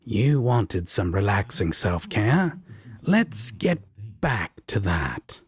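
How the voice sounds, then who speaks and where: Casting: male actor as soft-hearted demon roommate, very husky and deep, unhurried, teasing, intimate audio roleplay. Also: big pitch swings, no room sound, heavily acted. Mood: playful.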